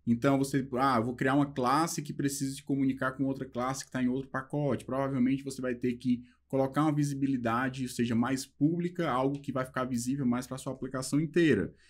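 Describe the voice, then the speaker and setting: A young Brazilian man talks through code organization in a loose, conversational teaching voice. He uses plenty of small fillers and checks in with the listener as he goes. His pitch sits at an ordinary middle height for a man. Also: fairly even intonation. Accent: Brazilian Portuguese. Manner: talks loosely with fillers, slows down reading English passages. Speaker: programming instructor. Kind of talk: casual explanatory lesson, reading and translating a book.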